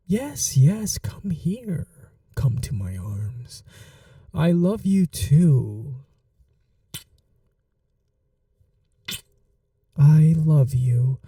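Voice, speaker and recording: A man voicing an obsessive, possessive 500-year-old male vampire in an ASMR roleplay, talking in soft, slow whispers. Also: mid-range voice, gravelly, no room sound, clean studio sound, distant mic, full character voice. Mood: tender.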